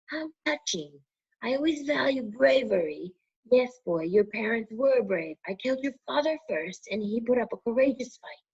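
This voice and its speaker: A woman voicing a cruel, menacing male dark wizard who sneers and threatens in a strained, raised voice, read as a storybook. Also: a little high, expressive, normal speed, gravelly, slightly rough, voice pushed up, full character voice. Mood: taunting. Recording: muffled lo-fi recording.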